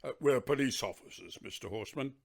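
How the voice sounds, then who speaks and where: A man's voice: medium pitch, a little fast, vocal fry. A man playing a hesitant, formal police detective in a radio play. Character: hesitant, formal male police detective bearing bad news.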